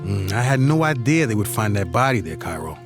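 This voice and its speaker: A man's voice: fairly low pitch, very animated, brisk. A man playing an authoritative, defensive city mayor in an audio drama, commanding and wry. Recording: music playing behind the voice.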